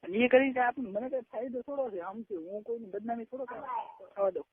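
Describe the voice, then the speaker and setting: Fast, high-pitched young Gujarati man on phone. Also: a crowd in the background. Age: 20s. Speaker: caller. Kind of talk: casual private phone chat. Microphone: telephone line. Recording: tinny narrowband phone call recording.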